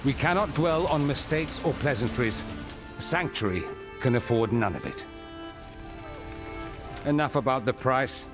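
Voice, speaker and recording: A grave male mentor of a dark fantasy game. His speech is formal and measured, with a pitch that moves widely. Music plays clearly behind him. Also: expressive. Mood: grave, resolute. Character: grave, weary male mentor advising a demon hunter. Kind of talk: dramatic video game dialogue.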